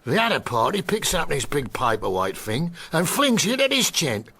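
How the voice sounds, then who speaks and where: Gravelly petty crook. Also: male voice, a little high, wildly swooping pitch, normal speed, pushed and bright, full character voice. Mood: agitated. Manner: hesitant, colloquial storytelling. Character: nervous working-class petty cat burglar, cheeky eyewitness. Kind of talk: period detective audio drama.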